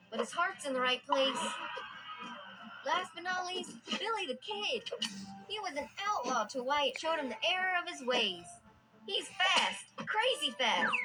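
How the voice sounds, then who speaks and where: A woman's voice: extremely high pitch, rough recording. A woman voicing a young frontier settler in a video game, telling tall tales in a folksy, earnest American Western drawl. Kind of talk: scripted game character narration. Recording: music behind the voice. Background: music.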